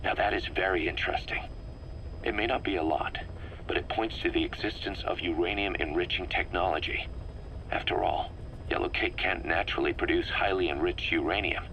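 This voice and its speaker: Deep, grave military intelligence officer. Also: male voice, fairly even intonation, bright, forceful tone, rough recording. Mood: analytical, intrigued. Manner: measured, analytical, grave. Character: serious, methodical male military intelligence officer, middle-aged. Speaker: intelligence officer briefing his commander. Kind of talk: scripted briefing, game voice acting. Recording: faint noise on a cassette-tape briefing.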